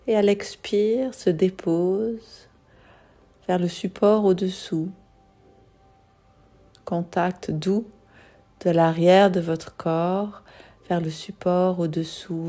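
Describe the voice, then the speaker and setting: A woman guiding a yoga nidra meditation in a soothing, unhurried manner, leaving long pauses. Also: lowish voice, mellow. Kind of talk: guided meditation.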